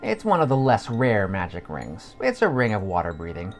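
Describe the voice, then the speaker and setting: A man's voice: lowish voice, huge pitch swings, normal speed, soft background music. A man voicing an aloof wizard cat shopkeeper in a curt, neutral manner for a fantasy story podcast. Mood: matter-of-fact.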